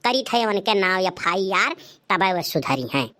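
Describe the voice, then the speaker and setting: A woman's voice: medium pitch, expressive, measured pace, pushed and bright. A woman voicing an indignant, scolding village mother in a cartoon comedy, speaking emphatically with an Awadhi accent. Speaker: voice actor of a comedy cartoon channel. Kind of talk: dialect cartoon comedy acting.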